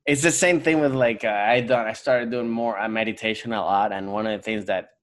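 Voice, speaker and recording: Low-voiced Venezuelan-born man rambling. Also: fairly even intonation, brisk, fairly bright tone. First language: Spanish. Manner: rambling, many fillers and restarts. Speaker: guest comedian. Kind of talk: casual podcast chat.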